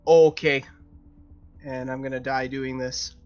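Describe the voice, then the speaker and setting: A man's voice: medium pitch, flat delivery, slow, darkish tone. A man chatting casually as a player in a tabletop game, hesitant and questioning. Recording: slightly dull audio, music underneath.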